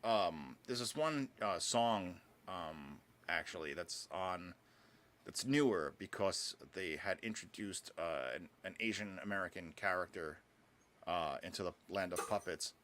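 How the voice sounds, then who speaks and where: This is a man in his thirties on a podcast, rambling through casual chat. He is full of fillers and offhand swearing. His voice sits low. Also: a little slow, fairly bright tone. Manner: rambling, lots of filler words and profanity. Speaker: podcast co-host.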